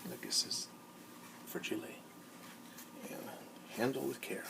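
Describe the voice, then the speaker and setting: A man who is a teacher reads a storybook aloud to children in an expressive way, often turning to ask his listeners questions. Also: measured pace, noisy background. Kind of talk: animated storybook read-aloud with asides to young listeners.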